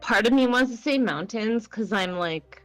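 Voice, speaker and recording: A woman's voice: fairly high pitch, expressive, moderate pace, fairly bright tone. A woman in her thirties from Canada, co-hosting a casual chat with emphatic, rambling talk full of "like" and restarts. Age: 30s. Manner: chatty, emphatic, frequent likes and restarts. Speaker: co-host. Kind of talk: casual chat while gaming. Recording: faint game music behind.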